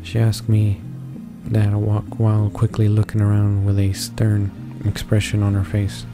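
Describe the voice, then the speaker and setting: Low, flat-voiced man reading haltingly. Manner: stumbles over words and names, correcting himself. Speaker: gaming channel host reading along. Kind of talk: halting read-aloud of visual novel narration, casual asides. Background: game music playing softly.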